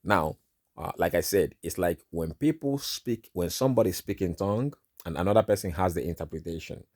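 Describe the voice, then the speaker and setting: A man's voice: sits low, fairly quick, a little mellow, no room sound, studio quality. A Nigerian man whose native tongue is Igbo, sharing a dream in an informal spoken sermon and dotting his talk with "you know" and "all right".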